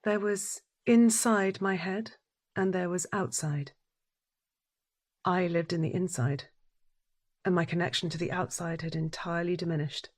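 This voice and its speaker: An actress playing a troubled, withdrawn wife who has lost her hearing, narrating an audio drama in a breathy, deliberate, introspective way. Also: fairly low pitch, darkish tone. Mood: withdrawn, reflective. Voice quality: breathy, husky.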